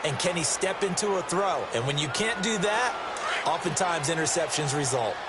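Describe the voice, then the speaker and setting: A man's voice: fairly high pitch, expressive, normal speed, over a noisy crowd, natural voice. An American man playing a football color commentator, explaining plays in an emphatic way. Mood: emphatic, analytical. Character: male football analyst in broadcast booth. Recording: loud stadium noise behind voice. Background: loud stadium game noise.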